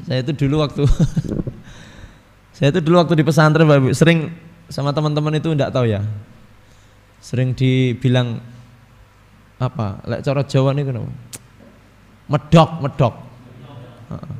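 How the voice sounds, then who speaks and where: A man with a Javanese accent giving a religious study lecture, reading Arabic text and explaining it in a relaxed, chatty way to his audience, often clearing his throat. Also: mid-range voice, normal speed, long pauses, long reverb tail. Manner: mixes Arabic recitation with casual explanation, addresses audience as Bapak Ibu. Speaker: ustadz teaching a hadith book.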